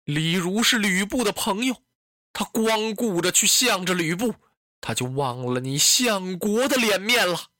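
A storyteller as young beautiful concubine, tearful, pleading, indignant, bright lively voice, storytelling drama. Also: mid-range voice, a little slow, no room sound, studio quality. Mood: tearful indignation.

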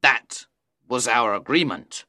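A man's voice: on the low side, very animated, slow pace, bright, forceful tone, no room sound, studio quality, exaggerated voice. A man playing an anguished, defiant scholar in a dramatised audiobook, bitter and impassioned. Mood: angry, defiant. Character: Faustus, anguished defiant scholar bound to the devil.

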